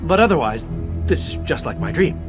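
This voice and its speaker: A cocky young fantasy warrior in a video game, brave and full of wry wisecracks. His voice is a little high for a man and has a jaunty swagger, with music clearly behind it. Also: big pitch swings, normal speed. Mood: earnest, jaunty. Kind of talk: scripted fantasy game dialogue.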